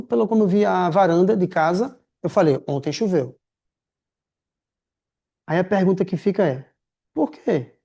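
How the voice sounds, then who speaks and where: A man teaching a writing class in a lively, slangy, chatty way, calling his students affectionate names. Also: sits high. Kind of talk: casual recorded lecture.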